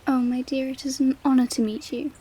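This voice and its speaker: A woman playing a gracious, gentle royal lady in a romantic audio drama, formal and gushing, with a soft husky voice. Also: sits high, little pitch movement, fairly quick. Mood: grateful, gracious.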